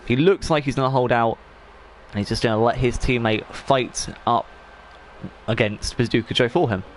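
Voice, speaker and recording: A man's voice: mid-range voice, moderate pace, slight background noise. A man commentating a sim racing league race in a chatty, rambling way, while he is losing his voice. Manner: chatty, rambling, frequent fillers and restarts.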